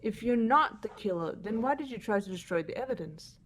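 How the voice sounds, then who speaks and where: A woman's voice: medium pitch, lively intonation, brisk, slight background noise, natural voice. A woman voicing a composed, incisive female student who explains evidence methodically in an amateur game dub. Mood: accusing, calm. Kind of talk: amateur voice acting in a visual novel playthrough.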